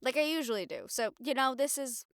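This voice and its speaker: A woman acting a young reporter girlfriend in an intimate audio roleplay, wistful but bravely upbeat, musing in halting, expressive phrases. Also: sits high, no echo, clean studio sound. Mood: hopeful, reassuring.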